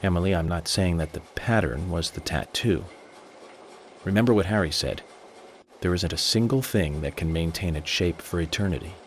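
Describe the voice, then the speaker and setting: An actor with an American accent playing a composed, confident FBI agent in a video game, speaking in a measured, deliberate way. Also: male voice, sits low, slight background noise. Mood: calm, certain. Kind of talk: dramatic game cutscene acting.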